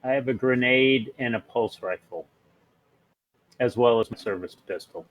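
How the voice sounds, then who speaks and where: A man's voice: medium pitch, moderate pace, no room sound, rough recording. A man talking casually as a player in a tabletop role-playing game.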